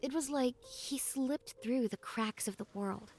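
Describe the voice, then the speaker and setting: A woman playing a thoughtful, wistful young student leaving home, narrating a game's story in a gentle, reflective storybook style. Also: sits high, unhurried. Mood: wistful, sad. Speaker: narrator and protagonist of a puzzle adventure game. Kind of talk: scripted first-person storybook narration. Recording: music behind the voice. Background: background music.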